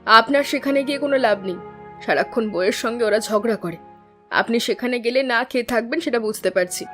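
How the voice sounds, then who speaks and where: High-pitched irritable young village wife played by a woman. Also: brisk, fairly bright tone, faint music behind, lightly acted. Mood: bitter, complaining. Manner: impatient, complaining. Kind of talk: Bengali animated folk-tale dialogue.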